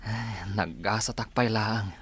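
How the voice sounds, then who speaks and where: A man's voice: on the low side, slow, a bit bright, breathy edge, full character voice. A man playing a cheerful, boastful young man in a radio drama, with a Filipino accent. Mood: musing.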